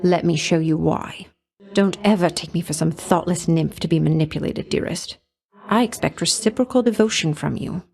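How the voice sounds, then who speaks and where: A woman's voice: on the low side, normal speed, vocal fry, a little mellow. A woman voicing a flirtatious, vain and jealous goddess of love in a video game, speaking in coquettish, condescending endearments with a veiled threat underneath. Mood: jealous, menacing.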